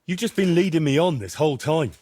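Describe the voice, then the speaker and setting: A husky young man, a loyal medieval game hero with a blunt, sardonic way of questioning. His pitch moves a lot, and the recording is dry and close. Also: lively intonation, quick pace, darkish tone, dry recording. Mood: indignant. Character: blunt, loyal young medieval adventurer, exasperated but principled. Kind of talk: video game dialogue acting.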